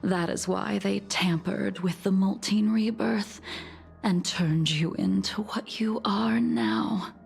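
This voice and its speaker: Slow, gravelly, solemn atoning warrior woman. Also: medium pitch, faint music behind. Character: weary solemn woman seeking to atone for past sins. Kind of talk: video game voice acting.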